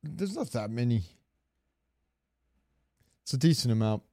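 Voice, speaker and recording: A man's voice: mid-range voice, sing-song, measured pace, a little mellow, no echo, clean studio sound. A man co-hosting a podcast, chatting casually in an informal, opinionated way. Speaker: podcast co-host. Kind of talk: casual chat.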